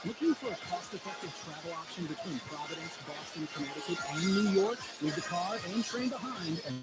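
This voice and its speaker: A man's voice: high-pitched, expressive, fairly quick. A man reading a scripted radio commercial as an announcer. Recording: voice over loud music bed. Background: loud music.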